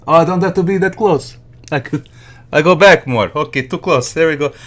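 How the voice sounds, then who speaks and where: A man's voice: a little high, a little fast, fairly bright tone. A man playing a chatty, clueless Azorean Portuguese immigrant with a Portuguese accent and broken English, laughing often as he gets sidetracked. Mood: cheerful. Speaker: podcast guest. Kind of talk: comedy character monologue.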